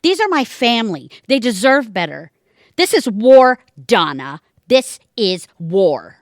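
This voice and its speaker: A woman acting in amateur audio theatre, playing a warm-hearted, fiercely protective family defender with a dramatic, emphatic delivery. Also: on the high side, sing-song, measured pace, no room sound, clean studio sound. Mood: defiant, angry.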